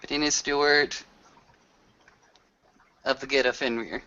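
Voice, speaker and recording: A man's voice: medium pitch, fairly even intonation, a little slow, sharp tone. A man playing a suspicious male werewolf in a tabletop game, questioning bluntly. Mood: suspicious, demanding. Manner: blunt, interrogating. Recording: dull lo-fi voice recording.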